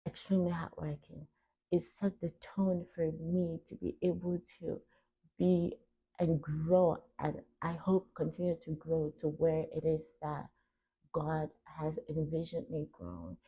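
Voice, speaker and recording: A young adult woman from Cameroon talks reflectively and earnestly as a podcast host, stressing her points and pausing between short phrases. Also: sits low, flat delivery, husky. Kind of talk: reflective personal talk. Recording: tinny phone-like narrow band.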